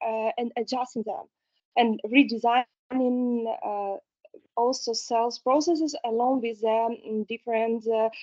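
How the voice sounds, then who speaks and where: A woman's voice: high voice, mellow. A woman from Ukraine introducing herself carefully in class, with many hesitations. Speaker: student. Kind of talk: self-introduction. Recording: dull lo-fi audio.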